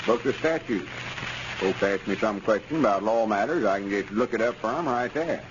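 A man's voice: lowish voice, lively intonation, normal speed, close to an everyday voice. An actor playing a vain, self-important backwoods man with a rural Southern accent in a 1930s radio comedy. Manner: pompous, boastful. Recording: scratchy, muffled 1930s radio recording.

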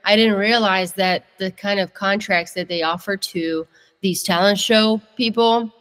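A woman's voice: medium pitch, fairly even intonation, a little slow, a bit bright, big-room sound. Chatty young woman from Italy. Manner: chatty, candid storytelling. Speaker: guest singer-songwriter. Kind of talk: casual interview.

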